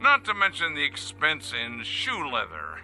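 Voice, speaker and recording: A man playing a pompous, boastful company boss in a radio drama, giving a grandiose, showy sales pitch to shareholders. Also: huge pitch swings, slow pace, sharp tone, soft background music, exaggerated voice. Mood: showy, excited. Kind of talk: radio drama acting as corporate presentation.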